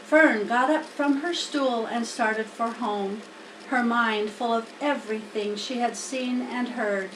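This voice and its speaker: A woman's voice: on the high side, slow. A woman narrating a children's story, reading aloud from a book. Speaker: storybook reader. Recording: roomy, distant mic, faint background noise.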